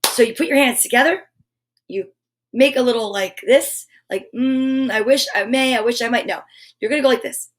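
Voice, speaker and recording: A high-pitched woman hosts a children's story time. She is effusive and encouraging, moving between chatty asides and reading aloud, with a playful way of addressing her young listeners. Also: moderate pace. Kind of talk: live children's show chat and read-aloud.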